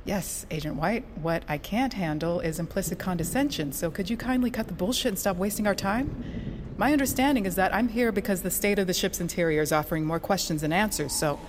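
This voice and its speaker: An actress playing a composed, defiant FBI special agent in an audio drama, formal and dryly sarcastic. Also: female voice, mid-range voice, a little fast, noisy background, natural voice. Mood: firm, controlled. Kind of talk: cinematic audio drama acting.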